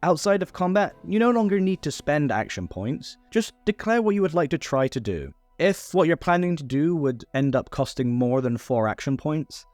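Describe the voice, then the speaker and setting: A man's voice: medium pitch, a little mellow, no echo. A man narrating a scripted tutorial on tabletop game rules, recorded as a close voice-over. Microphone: close voice-over microphone.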